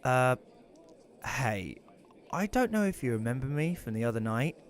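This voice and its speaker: A man's voice: medium pitch, very animated, normal speed, lightly acted. A man playing an awkward, apologetic widower telling his story in an audio drama, with a hesitant, self-conscious manner. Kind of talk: first-person audio drama narration and dialogue.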